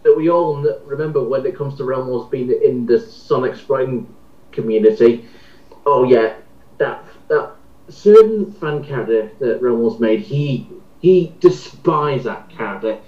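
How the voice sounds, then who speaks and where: A man's voice: medium pitch, animated, measured pace. A man in a casual, opinionated group chat, speaking hesitantly and repeating his words. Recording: echoey, muffled amateur voice recording.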